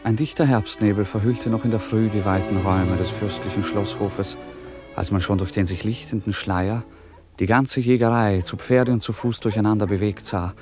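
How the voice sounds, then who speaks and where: An actor narrating a literary tale for a radio play in a measured, storytelling way. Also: male voice, fairly low pitch, fairly even intonation, over music, natural voice. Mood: measured narration.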